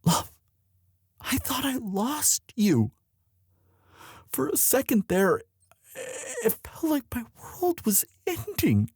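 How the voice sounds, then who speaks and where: A man playing a lovestruck young prince in an intimate audio roleplay, tender and protective, with a halting, emotive delivery. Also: extremely high pitch, huge pitch swings, a little mellow, breathy edge, dry recording, full character voice. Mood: distraught, tender. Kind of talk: boyfriend ASMR audio roleplay drama.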